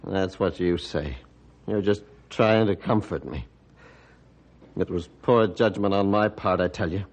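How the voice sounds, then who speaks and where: An actor playing a commanding, exacting surgeon in old-time radio melodrama style. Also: male voice, low-pitched, a little slow. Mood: guilt-stricken. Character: commanding, exacting male surgeon burdened by guilt.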